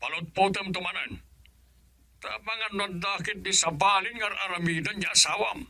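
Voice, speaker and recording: A man's voice: high-pitched, moderate pace, vocal fry, bright, forceful tone, exaggerated voice. A man with an Ilocano accent playing a stern, wrathful older father in a radio drama. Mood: cold, suspicious. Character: stern, wrathful father resentful of his son-in-law.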